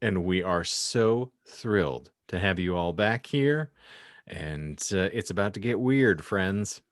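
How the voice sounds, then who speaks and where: Male host, fairly low pitch, close dry recording, wordy mock-formal delivery, wry casual banter. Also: expressive, slow pace, no room sound. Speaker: podcast host.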